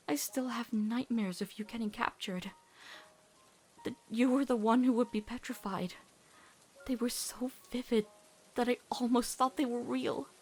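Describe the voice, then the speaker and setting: A woman voicing a devoted, earnest teenage girl in an emotional cartoon comic dub, pleading and faltering as she speaks. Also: a little high. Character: devoted, earnest teenage girl, fearful of losing loved ones.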